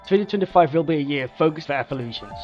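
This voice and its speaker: A man narrates a formal scripted progress report at a fast clip. His voice is slightly high, and the recording is dull, with faint music underneath. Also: expressive, a little mellow. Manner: formal, measured wording. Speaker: mod developer.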